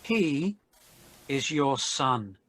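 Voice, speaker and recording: A man reading the part of Jesus, a calm, resigned condemned man, quietly and solemnly in a dramatized Bible reading. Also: medium pitch, very slow, heavily acted. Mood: tender.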